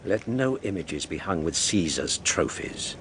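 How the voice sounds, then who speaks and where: A man's voice: low-pitched, expressive, measured pace, a little hiss or hum. An actor playing a stern male Roman tribune, giving commands. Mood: commanding.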